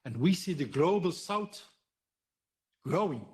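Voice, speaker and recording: A man making a halting, emphatic political statement in short broken phrases. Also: fairly high pitch, very animated, a little mellow. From Belgium. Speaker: audience commenter, tour organiser. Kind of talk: impromptu political statement at a roundtable.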